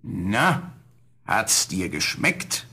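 A man's voice: deep voice, very animated, sharp tone, exaggerated voice. An actor playing a kindly, grumbling, very old man in a 1970s fairy-tale audio play. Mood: curious. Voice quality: gravelly, husky. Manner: slow, grumbling, good-natured.

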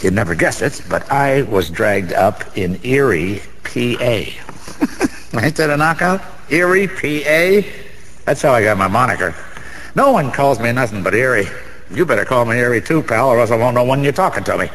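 An actor playing a boozy, boastful middle-aged Broadway gambler in a radio drama, rambling in streetwise slang with a wisecracking manner. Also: male voice, mid-range voice, animated, normal speed, a bit bright, lightly acted. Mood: cocky, chummy. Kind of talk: 1990s radio drama acting. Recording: reverberant hall, faint background noise.

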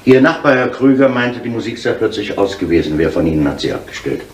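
An actor playing a matter-of-fact police inspector who questions witnesses in a German radio crime drama. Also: male voice, on the low side, lively intonation, quick pace, close to an everyday voice. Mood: probing. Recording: roomy, faintly noisy 1970s radio play.

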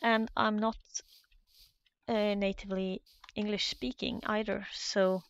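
A woman's voice: medium pitch, level tone, unhurried, creaky, clear, resonant tone. A Norwegian woman with a Norwegian accent, hesitantly commentating her own Minecraft play in a casual, nervous way. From Norway. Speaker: Minecraft let's player.

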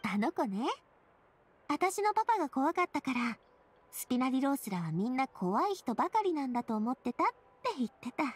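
Anime game heroine: elegant young boss, warm-hearted and sincere, high-pitched, animated delivery. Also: female voice, a little fast, breathy edge, heavily acted. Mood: gentle, reflective. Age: young adult. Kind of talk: Japanese anime game voice acting.

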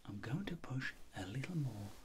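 A middle-aged man speaking in a breathy whisper, slow and reassuring, in an ASMR roleplay as a doctor examining a patient. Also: mid-range voice, expressive, slight background noise. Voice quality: breathy whisper, soft-spoken. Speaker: ASMR performer acting as a doctor.

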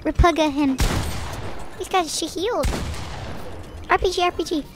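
Squeaky voice-changed little kid gamer. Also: male voice, moderate pace, music in the background. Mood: eager. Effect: pitch-raising voice changer. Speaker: video game streamer. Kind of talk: excited multiplayer game chatter.

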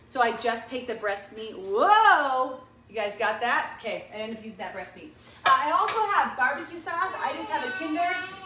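Chatty high-voiced woman in an echoey kitchen. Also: big pitch swings, normal speed, sharp tone. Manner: chatty, self-mocking, upbeat, talks to viewers as "you guys". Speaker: home cooking vlogger. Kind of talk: casual cooking demo to camera. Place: home kitchen, indoors. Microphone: camera across the room. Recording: echoey kitchen, distant mic, background noise.

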